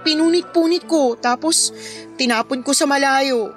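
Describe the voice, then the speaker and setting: High-voiced, plaintive bride-to-be played by an actress. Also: female voice, normal speed, natural voice. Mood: defeated, evasive. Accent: Filipino. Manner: hesitant, plaintive. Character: lovesick, stubborn, troubled bride-to-be clinging to her fiancé. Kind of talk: Filipino radio drama acting. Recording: music playing behind the dialogue.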